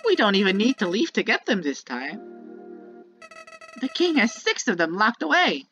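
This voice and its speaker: A woman's voice: mid-range voice, wildly swooping pitch, moderate pace, a bit bright, dull audio. A woman voicing a sinister, childlike talking flower from a video game, bitter and manipulative, delivering a theatrical monologue that moves between sweet musing and menace. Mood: confiding, scheming. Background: prominent music.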